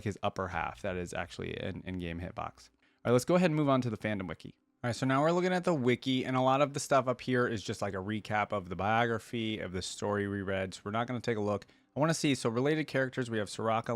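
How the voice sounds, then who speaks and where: A man's voice: mid-range voice, no room sound. A man hosting a gaming reaction video, talking informally and thinking aloud as he comments and reads along.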